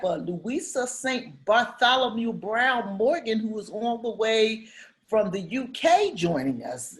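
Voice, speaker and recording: A woman's voice: mid-range voice, echoey room. A woman moderating an online panel informally, emphatic and repeating key phrases on a video call.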